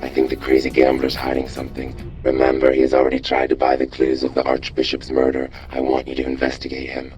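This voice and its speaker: A shadowy video game robot contact with an extremely deep voice. The delivery is monotone, controlled and secretive, with music underneath. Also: male voice, lo-fi sound. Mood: secretive, urgent. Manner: flat, measured, instructing. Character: mysterious male robot informant, secretive, cool and controlled. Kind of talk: video game character acting.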